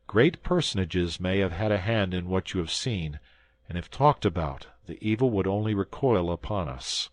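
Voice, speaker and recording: A man voicing a young guardsman hero who speaks urgently and earnestly, raised somewhat above his own low register. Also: lively intonation, moderate pace. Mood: grave. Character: young male guardsman hero, passionate and alarmed.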